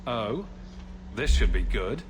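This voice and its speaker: A man's voice: on the low side, lively intonation, slow. A man playing a wry, confident adventurer in a fantasy game, with a teasing delivery. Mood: wry, amused. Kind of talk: fantasy video game acting. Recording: game audio under clear noise.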